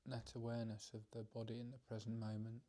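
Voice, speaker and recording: A man giving an unscripted guided meditation talk as a teacher, hesitant and measured, with long pauses. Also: medium pitch, little pitch movement, warm tone.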